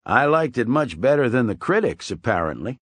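A man voicing a courteous, calm older male schoolteacher with measured speech. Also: medium pitch, husky, no room sound, studio quality.

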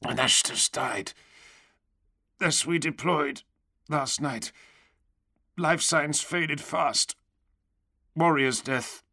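A stoic armoured knight, performed by a male narrator, speaks in blunt fragments. The voice is thick and mumbled, slightly raised and forceful, as heard in a war audiobook. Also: a little high, drawn-out words, pauses often, voice pushed up, full character voice. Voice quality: thick, mumbled, as through a mouthful of gruel.

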